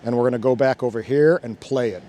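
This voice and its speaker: A man's voice: medium pitch, fast talker, dark tone, slight background noise. A man hosting a casual hands-on demo outdoors by a fountain, thinking aloud and laughing often as he goes. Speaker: drone channel host. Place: outdoors by a fountain, cold weather.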